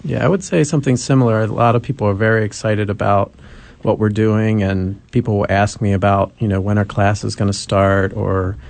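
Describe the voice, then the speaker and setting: Low gravelly guy on a radio show, lots of ums, kinda unsure. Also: steady tone, brisk, a little mellow. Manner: hesitant, many ums. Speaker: community center founder, guest. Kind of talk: radio interview answers.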